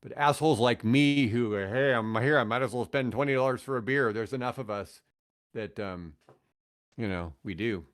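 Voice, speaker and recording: A man with a medium-pitched voice talks casually as a podcast guest. He states his opinions with emphasis, often stopping and repeating a phrase before pressing on. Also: normal speed.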